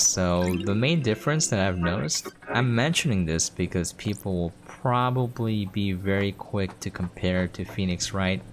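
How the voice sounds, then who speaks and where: Deep voiced guy chatting through a video game, informal, some noise in the background. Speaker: game reviewer and player, host. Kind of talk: casual gameplay commentary.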